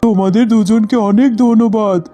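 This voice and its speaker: Casting: man as reassuring cartoon animal doctor, formal, high but very dark-toned voice. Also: fairly even intonation, a little fast, lightly acted. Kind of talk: children's cartoon voice acting.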